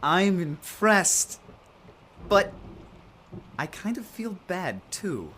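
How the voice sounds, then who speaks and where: Anxious, slow-talking, sensitive crash survivor. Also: male voice, high voice, very animated, sharp tone, a little hiss or hum, full character voice. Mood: earnest, sympathetic. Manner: rambling, plaintive, hesitant. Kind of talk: audio drama acting.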